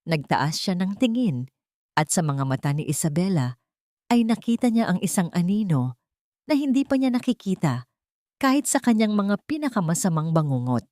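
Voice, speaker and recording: A woman's voice: fairly low pitch, sing-song, moderate pace, dark tone, no echo, studio quality. A woman narrating a scripted story in a dramatic style.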